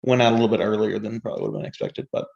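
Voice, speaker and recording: Gravelly man on a dull mic. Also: lowish voice, big pitch swings, very fast, a little mellow. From North Carolina, US. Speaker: podcast co-host. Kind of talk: casual sports chat. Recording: dull, lo-fi microphone sound.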